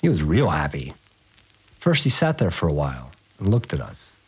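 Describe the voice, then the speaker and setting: An actor playing an awed, rough-spoken working man who recounts strange events in a slangy, rambling audio diary for a fiction podcast. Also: a bass voice, huge pitch swings, normal speed, heavily acted, rough recording. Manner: slangy, rambling, unsure of his words. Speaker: fixer, a manual worker.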